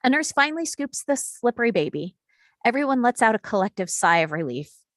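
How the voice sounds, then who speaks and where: A woman's voice: a little high, very animated, brisk, a bit bright, dry recording, studio quality. A woman reading stage directions as scripted narration at a screenplay table read.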